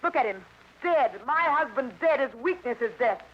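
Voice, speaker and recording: Icy widow from an old radio mystery, high clipped voice, muffled w bit of hiss. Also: female voice, lively intonation, normal speed. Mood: cold, detached. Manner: clipped, cold, detached. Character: cold, hard, unmoved widow in crime drama. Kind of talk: 1940s radio crime acting. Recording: dull, faintly noisy vintage radio recording.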